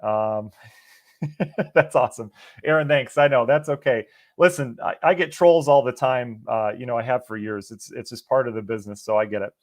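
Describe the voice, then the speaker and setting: Male TV weatherman, mid pitch, slightly hoarse and sniffly, relaxed live Q&A, dry close sound. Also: moderate pace, no room sound. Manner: easygoing, chatty, answers viewers by name. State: losing his voice, a bit sniffly. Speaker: meteorologist. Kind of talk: live-stream viewer Q&A on the weather.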